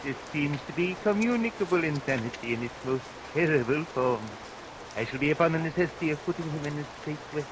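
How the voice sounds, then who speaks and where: An actor playing a callous, smooth-talking madhouse keeper, formal and mock-solemn, in an old radio melodrama. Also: male voice, a little high, animated, brisk, close to an everyday voice. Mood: coolly businesslike. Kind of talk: 1940s radio melodrama acting. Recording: hissy vintage radio recording, rain over it.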